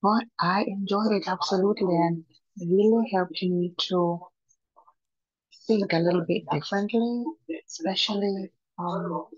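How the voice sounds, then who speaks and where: A woman's voice: on the low side, husky, no room sound, muffled recording. A woman from Jamaica with a Jamaican accent, speaking steadily as a meeting attendee. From Kingston, Jamaica.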